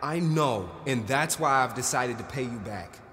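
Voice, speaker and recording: Lively, ambitious, callous young brother. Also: male voice, medium pitch, normal speed, slight background noise. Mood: defensive, dismissive. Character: restless, ambitious, callous grad-student brother. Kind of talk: stage-play dialogue acting. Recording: reverberant hall, music behind.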